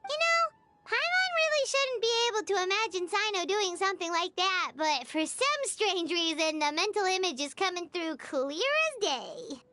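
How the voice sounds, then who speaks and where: Tiny fairy girl, very high-pitched, childlike, excitable, cheerful, animated game character. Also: normal speed, noisy background. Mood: teasing. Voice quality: squeaky, childlike. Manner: excitable, refers to self in third person.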